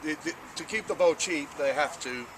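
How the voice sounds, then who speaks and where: A man's voice: a little high, normal speed, background noise. A man co-hosting a casual unboxing chat outdoors, talking easily and listing items as he unpacks them. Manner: chatty, lists items as he unpacks. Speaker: archery shop co-host.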